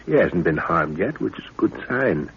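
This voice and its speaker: A man acting a big, gruff, blustering middle-aged professor in a 1930s radio adventure. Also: low-pitched, very animated, normal speed, full character voice. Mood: grim resolve. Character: huge, gruff, hot-tempered older professor leading a jungle expedition. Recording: muffled old 1930s radio recording.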